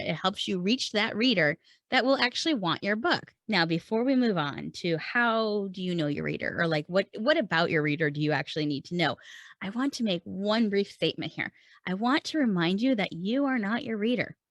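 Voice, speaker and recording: A woman's voice: mid-range voice, lively intonation, brisk, a bit bright, no echo. A woman podcast host coaching writers in a conversational, emphatic way, putting questions and points straight to the listener. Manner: emphatic, rhetorical, addresses listener directly. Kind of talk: conversational instructional podcast talk.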